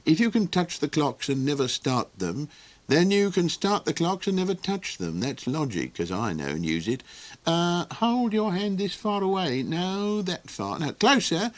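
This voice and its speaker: Man playing a fussy magical helper, swooping pitch. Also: big pitch swings, measured pace. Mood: fussy puzzling.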